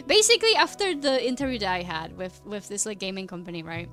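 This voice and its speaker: A woman's voice: mid-range voice, expressive, normal speed, fairly bright tone, faint music behind. A young woman from the Republic, chatting casually on stream while she draws, rambling, laughing often and hunting for words. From Czech Republic. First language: Czech. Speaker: artist streamer. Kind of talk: casual chat while drawing.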